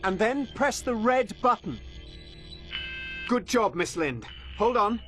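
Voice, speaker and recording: A man playing a doctor calmly guiding an emergency from afar in a video game. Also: high voice, takes it slowly, soft background music, heavily acted. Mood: urgent. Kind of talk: dramatic video game voice acting.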